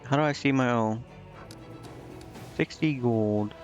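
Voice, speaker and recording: A man with an average-pitched voice plays a game on stream and talks himself through it. His delivery is casual and muttered, like someone working out a puzzle aloud. The sound is a little dull, with music softly playing underneath. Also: slow. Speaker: livestreamer playing a strategy role-playing game. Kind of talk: casual gaming stream commentary, thinking aloud.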